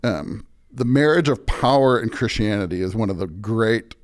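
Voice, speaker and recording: A man's voice: lowish voice, lively intonation, moderate pace. Emphatic man, a pastor talking faith. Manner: emphatic, repeatedly checks with "right?". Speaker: pastor, podcast guest. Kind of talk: conversational preaching in an interview.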